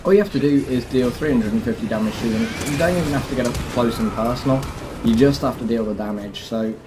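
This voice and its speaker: A man's voice: medium pitch, fast, noisy background. A man hosting a gaming video, giving a casual walkthrough and explaining each step directly to viewers. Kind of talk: casual game walkthrough commentary.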